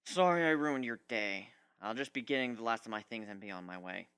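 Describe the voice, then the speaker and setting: A man's voice: fairly low pitch, a little fast, fairly bright tone, smooth. A man voicing a proud, embittered young griffin warrior in a story reading, blunt and emphatic. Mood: curt, resigned.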